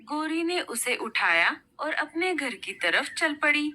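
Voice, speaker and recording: A woman reads a scripted children's story in a bright, fairly high voice. She narrates for a cartoon, with clear noise in the recording. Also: very animated, takes it slowly. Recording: noticeable background noise.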